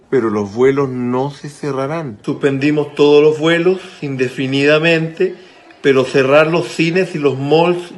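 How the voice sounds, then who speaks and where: A man puts on a pompous government health minister for radio satire. He speaks slowly at a middling pitch, with measured, officious self-assurance. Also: close to an everyday voice. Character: comedian mimicking a smug, self-contradicting government health minister. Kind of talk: satirical impersonation in a radio drama.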